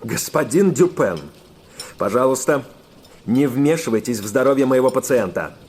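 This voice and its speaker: An actor playing a haughty, scheming male family doctor who is quick to take offense, in a radio play. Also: mid-range voice, expressive, darkish tone, a little hiss or hum, close to an everyday voice. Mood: stern. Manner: indignant, affronted.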